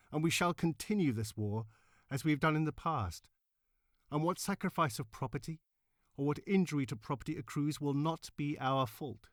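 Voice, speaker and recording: Male reader as militant suffragette leader, defiant, angry, declamatory rally oratory, raised pitch, emphatic, dry recording. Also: no echo, close to an everyday voice. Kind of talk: rally oratory in a read-aloud history.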